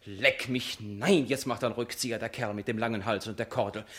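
An actor playing an excitable, gossipy bystander in a radio play monologue, with a theatrical delivery. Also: medium pitch, a little fast, natural voice. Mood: conspiratorial muttering.

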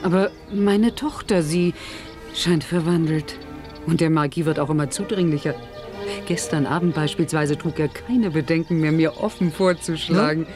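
A woman's voice: low-pitched, normal speed, music in the background, close to an everyday voice. A woman playing a greedy, servile middle-aged mother who schemes and fawns, in a radio play. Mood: apologetic. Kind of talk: 1970s German radio play acting.

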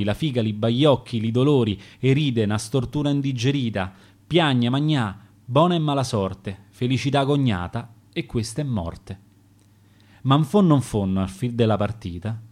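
A man with a Roman accent reciting his own rhymed sonnets in a measured, theatrical way for a radio broadcast. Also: medium pitch, a little mellow.

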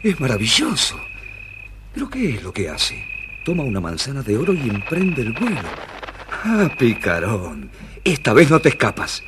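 An actor playing a brave, wonderstruck young prince, exclaiming dramatically in a children's storybook recording. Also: male voice, medium pitch, huge pitch swings, moderate pace, background noise. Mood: awed, determined.

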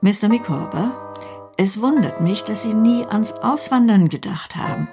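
An actress playing a brisk, kindhearted older aunt in a German radio drama, decisive and matter-of-fact. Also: female voice, mid-range voice, animated, over music, lightly acted. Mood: brisk generosity.

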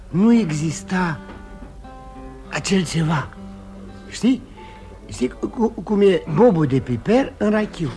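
An actor in a radio play voicing a ruined drinking man who confesses his failed life with self-loathing, halting and repeating himself. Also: fairly high pitch, a little mellow, close to an everyday voice. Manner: rambling confession, halting with emotional repetitions. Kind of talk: theatrical radio drama acting. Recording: radio play with guitar music behind.

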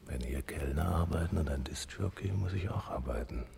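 An actor playing a reluctant, grumbling husband in a naturalistic radio drama, curt and defensive in manner. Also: male voice, very deep voice, brisk, vocal fry, a little mellow, slight background noise, heavily acted. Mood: reluctant, weary.

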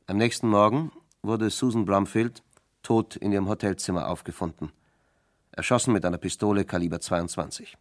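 A man's voice: lowish voice, fairly even intonation, fairly quick, no echo, studio quality. A man reading scripted narration for a radio crime play in a sober, factual manner.

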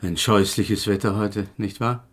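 An actor playing a self-important invisible gentleman scientist in a radio play, polite and urbane in manner. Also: on the low side, flat delivery, unhurried. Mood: genteel, self-satisfied. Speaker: physics professor. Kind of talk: German radio play acting.

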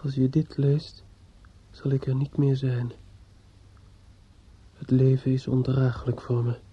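An actor in a radio play playing a distraught, bitter young man in grief, speaking haltingly with stammers and repetitions. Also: mid-range voice, little pitch movement, slightly rough. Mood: obsessive, unsteady. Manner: halting, stammering, repeats himself. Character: distraught, bitter young bridegroom-to-be, grieving and obsessive. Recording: dull lo-fi radio play recording.

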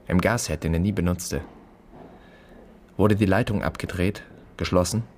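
Male actor as pensive, searching man for radio drama; low voice, clipped observations, slight noisy ambience behind. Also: normal speed, darkish tone, lightly acted. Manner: terse, observational, questioning. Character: pensive man inspecting a dead father's empty flat. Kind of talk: literary radio drama monologue.

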